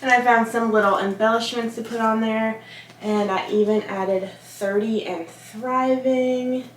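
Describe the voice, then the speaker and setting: A woman's voice: fairly high pitch, unhurried, a little hiss or hum. Chatty young woman in an echoey room. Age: 20s, about to turn 30. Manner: chatty, relaxed, talks to viewers as "guys". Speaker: vlogger. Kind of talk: casual vlog chat. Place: home office.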